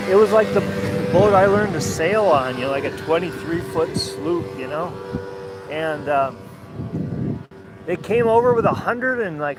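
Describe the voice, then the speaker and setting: A man from Minnesota with an Upper Midwest American accent, talking casually and haltingly from his sailboat, full of restarts and blunt asides. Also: a little high, background noise. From Minnesota, USA. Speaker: sailing vlogger. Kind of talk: rambling casual vlog chat. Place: outdoors on a sailboat.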